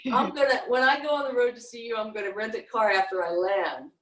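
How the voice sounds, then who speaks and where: A woman's voice: a little high, fast talker, fairly bright tone. An older woman chatting casually on a video call from an indoor room. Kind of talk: casual chat. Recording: roomy echo on video call.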